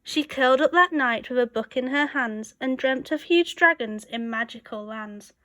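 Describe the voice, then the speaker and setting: A woman reading a rhyming picture book aloud as a storyteller, expressive and rhythmic. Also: high-pitched, moderate pace.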